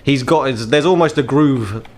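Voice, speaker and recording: A man's voice: medium pitch, moderate pace. A man narrating a tabletop game as game master, in a wry, descriptive storytelling manner.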